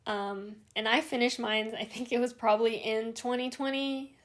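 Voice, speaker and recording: A woman's voice: a little high, fairly even intonation, moderate pace. A young woman from Hawaii hosting a knitting vlog, chatting casually and rambling with many fillers and restarts. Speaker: knitting podcast host.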